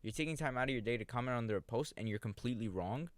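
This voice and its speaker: A man's voice: medium pitch, racing, no room sound. A man co-hosting a sports podcast, ranting heatedly and swearing. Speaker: podcast co-host. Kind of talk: casual sports chat.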